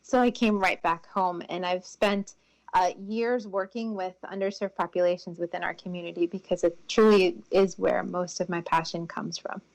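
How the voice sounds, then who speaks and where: A woman's voice: on the low side, steady tone, moderate pace, darkish tone, poor audio. A woman with an American accent answering interview questions conversationally as a guest physician. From Tampa, United States.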